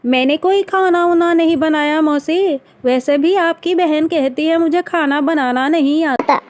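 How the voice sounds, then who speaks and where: A woman voicing a defiant, sharp-tongued young daughter-in-law in a cartoon family drama, pert and sarcastic. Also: high-pitched, unhurried, voice pushed up.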